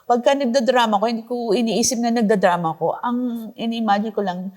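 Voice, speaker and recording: A woman's voice: on the high side, a little fast, darkish tone. A mature woman with a Filipino accent, a radio drama actress talking casually as an interview guest.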